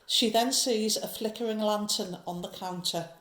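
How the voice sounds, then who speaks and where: A woman's voice: medium pitch, moderate pace, fairly bright tone, roomy sound. A woman narrating a horror audio drama from a script, describing each scene.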